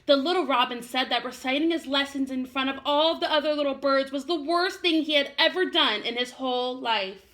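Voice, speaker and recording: Lady teacher doing story time, high bright voice, encouraging, talks to little kids. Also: fairly quick, hardly any pauses. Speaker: first-grade teacher. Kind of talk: expressive read-aloud for young children.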